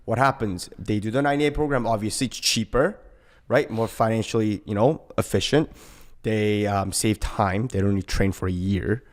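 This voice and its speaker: Low-pitched man, emphatic casual talker. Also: normal speed. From born in Korea, later moved to Canada. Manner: emphatic, often tags sentences with "right?". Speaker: personal trainer and boxing coach, podcast guest. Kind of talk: casual podcast conversation.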